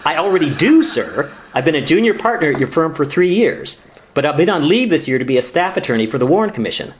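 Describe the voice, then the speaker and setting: Quick, animated young male lawyer played by an actor. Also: fairly high pitch, slight background noise. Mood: earnest. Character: earnest, deferential, exasperated junior male lawyer. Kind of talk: sitcom-style radio play dialogue.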